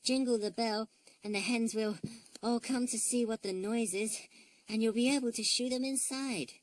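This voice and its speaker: A woman voicing a small, sweet girl teddy bear who is anxious and fretful, speaking in a soft voice. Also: medium pitch, moderate pace, no echo, pitched above the performer's own voice, close to an everyday voice, rough recording. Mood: earnest.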